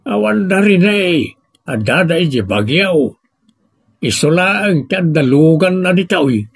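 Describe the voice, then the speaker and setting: A man's voice: high-pitched, lively intonation, measured pace, gravelly, breathy edge, no echo, clean studio sound, full character voice. A man playing an exasperated, chiding village husband in an audio comedy drama. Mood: urgent, reproachful. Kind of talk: Ilocano radio-style comedy drama acting.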